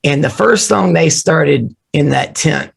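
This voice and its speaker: A man who is losing his voice, hoarse, telling animated, joking stories about his drumming start as an interview guest on a remote call. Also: a little high, takes it slowly. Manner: animated storyteller, jokey. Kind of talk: casual storytelling interview.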